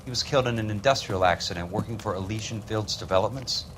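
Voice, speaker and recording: A man's voice: on the low side, fast, noisy background, lightly acted. A man acting a dogged, tough but polite insurance investigator in a crime game, with an American accent and a terse, wry delivery. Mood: polite, insistent.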